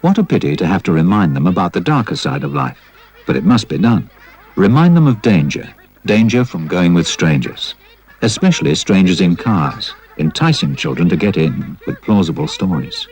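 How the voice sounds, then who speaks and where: Male warning-film narrator, very low and rough-edged, with animated intonation. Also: normal speed. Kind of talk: scripted public information film narration.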